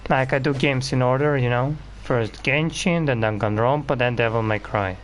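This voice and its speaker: A man's voice: mid-range voice, normal speed, slight background noise. A man giving casual game commentary as a streamer, blunt, profane and grumbling. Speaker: gaming streamer.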